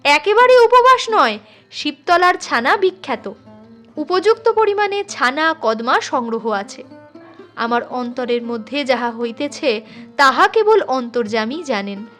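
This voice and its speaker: A woman's voice: high voice, big pitch swings, measured pace, a bit bright, faint music behind, exaggerated voice. A woman voicing an anxious, humble village father who pleads formally and apologetically in a story. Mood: pleading.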